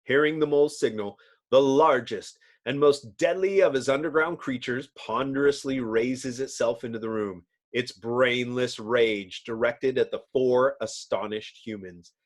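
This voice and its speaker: A man's voice: mid-range voice, unhurried. A man narrating a comic book as a dramatic reading, in a theatrical, bombastic manner. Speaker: narrator, host.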